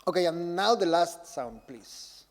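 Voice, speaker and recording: A man's voice: high-pitched, expressive, unhurried. A man giving an informal public lecture in a hall, talking directly to his audience and inviting them to take part. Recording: reverberant hall with loud background noise.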